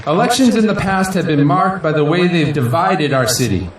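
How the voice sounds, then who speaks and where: A man's voice: fairly high pitch, lively intonation, moderate pace, close to an everyday voice. An actor playing a proud, idealistic male politician in an audio drama, giving a grandiose, earnest victory speech in declamatory rally style. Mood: humble, proud. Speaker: newly elected city council president. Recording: echoey hall, distant mic, crowd noise. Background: crowd and faint noise.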